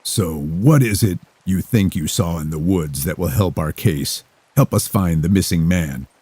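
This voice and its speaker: A man's voice: low voice, measured pace, mellow, husky, no room sound. A man voicing a tired, skeptical police detective who questions bluntly. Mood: questioning. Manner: blunt, matter-of-fact questioning.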